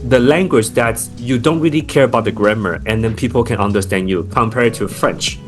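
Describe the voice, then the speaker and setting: A man's voice: medium pitch, a little fast, soft background music. A man from Taiwan with a Taiwanese accent, a startup founder speaking as a podcast guest, thoughtful with many fillers and restarts. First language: Chinese. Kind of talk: podcast interview.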